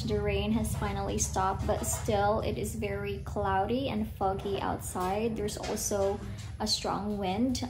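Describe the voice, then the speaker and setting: A woman's voice: medium pitch, noisy background. A young woman in her twenties from Cebu with a Filipino accent, her first language Bisaya, chatting casually to a handheld camera at home. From Cebu, Philippines. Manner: relaxed, chatty, says "um" and "like" often. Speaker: vlogger. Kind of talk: casual vlog chat. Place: rural house in the Philippines, indoors and outdoors. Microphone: handheld camera held at arm's length.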